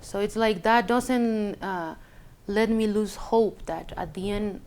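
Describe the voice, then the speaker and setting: Unhurried woman with Chilean Spanish accent. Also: mid-range voice, darkish tone. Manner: hesitant, searching for English words. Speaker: interviewee telling a personal story. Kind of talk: casual reflective personal talk.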